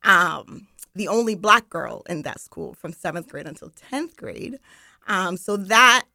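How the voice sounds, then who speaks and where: A woman from Barbados talks through a studio radio interview, chatty and quick to laugh. Her voice is bright and a little low, and the sound is dry and close. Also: lively intonation, vocal fry, no room sound. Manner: chatty, laughs often, many ums. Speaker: guest, marketing director. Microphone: studio microphone.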